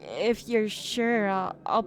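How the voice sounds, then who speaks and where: Woman playing a slow-talking, shy geeky fox. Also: mid-range voice, sing-song. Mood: bashful. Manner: awkward, flustered, hesitant, politely formal. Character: shy geeky silver fox on blind date, feigning maturity. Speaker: ASMR roleplay voice performer. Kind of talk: ASMR roleplay, one-sided conversational acting.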